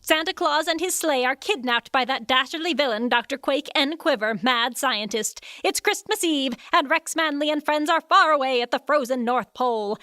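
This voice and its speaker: A woman's voice: sits high, moderate pace, few pauses, pushed and bright. A woman playing a theatrical, suspenseful narrator of an old-time style radio adventure serial.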